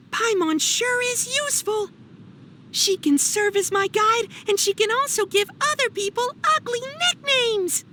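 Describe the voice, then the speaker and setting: A youthful adventurer from a video game, calm and dryly teasing. His pitch swings widely as he needles his companion, and faint-to-clear ambient noise sits behind the voice. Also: slow, full character voice. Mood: playful mockery. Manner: dry, teasing, playful. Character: young male traveler hero, calm and teasing.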